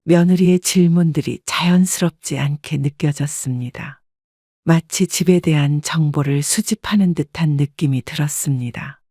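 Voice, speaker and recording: Calm woman narrating a story. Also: deep voice, normal speed, no echo, clean studio sound. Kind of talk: scripted first-person story narration.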